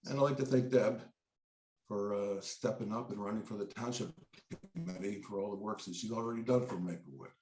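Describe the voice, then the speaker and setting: Congested man, lowish voice, nervous breathy pauses, echoey room. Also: a little mellow. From New Jersey. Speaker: debate candidate. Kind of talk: prepared opening statement.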